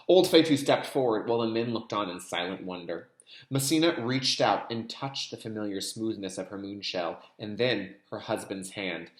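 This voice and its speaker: Animated male teacher in an echoey room. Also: mid-range voice. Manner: expressive, dramatic delivery, explains words to young listeners. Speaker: kindergarten teacher reading aloud. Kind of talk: read-aloud storytelling with teaching asides.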